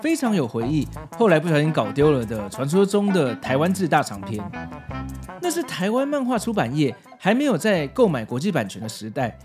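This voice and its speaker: A man's voice: on the high side, big pitch swings, brisk, over music. A man from Taiwan with a Taiwanese Mandarin accent hosting a podcast in prepared narration. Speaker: podcast host.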